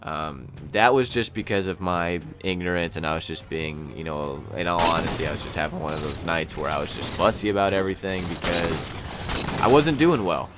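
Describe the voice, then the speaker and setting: A young man hosting a gaming channel, rambling through gameplay commentary in a slightly scratchy, raspy voice with plenty of "um"s and "you know"s. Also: sits low, fairly quick. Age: young adult. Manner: rambling, lots of "um" and "you know", thinking aloud. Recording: voice over loud gunfire from gameplay. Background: gameplay gunfire and explosions.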